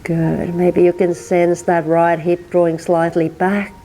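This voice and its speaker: A woman from Finland with a Finnish accent, teaching a gentle yin yoga class and softly cueing breath and movement. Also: lowish voice, level tone, unhurried, faint music behind. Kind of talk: guided yin yoga instruction.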